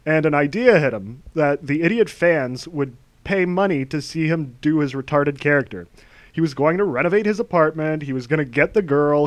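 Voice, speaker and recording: A man's voice: a little high, dry recording. A man narrating a scripted commentary video in a scornful, mocking, ranting manner.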